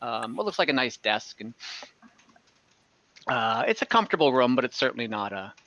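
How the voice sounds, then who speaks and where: A man improvising narration as game master of an online tabletop game, haltingly searching for words. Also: mid-range voice, big pitch swings, gravelly, bright, forceful tone, dry recording, lo-fi sound.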